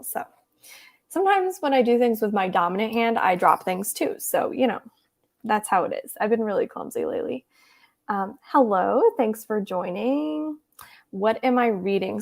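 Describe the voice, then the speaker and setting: A young woman with a hoarse, worn voice talks casually to her viewers. She keeps her volume down and giggles between thoughts. Her chat is friendly and rambling as she answers comments. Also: mid-range voice. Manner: chatty, giggly, reads viewer comments aloud; tries to speak softer than usual. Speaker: booktube livestream host.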